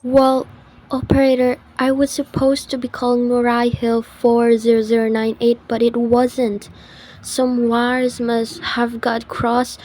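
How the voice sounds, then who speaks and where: A woman's voice: fairly high pitch, mellow. A woman playing an anxious, imperious, genteel telephone caller in a dramatic radio thriller style, proper and insistent. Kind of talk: old-time radio thriller acting.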